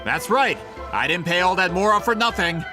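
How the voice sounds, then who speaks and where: An actor playing a smug, greedy businessman villain in a video game, with theatrical, drawn-out gloating. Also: sits high, lively intonation, loud music behind the voice.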